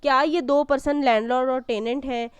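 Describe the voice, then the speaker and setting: Female lecturer, high smooth voice, patient step-by-step explaining with rhetorical questions. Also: steady tone, brisk, no echo. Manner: didactic, repeats and rephrases points, poses questions and answers them; mixes in English legal terms. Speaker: law lecturer. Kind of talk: explanatory law lesson, reading and explaining clauses.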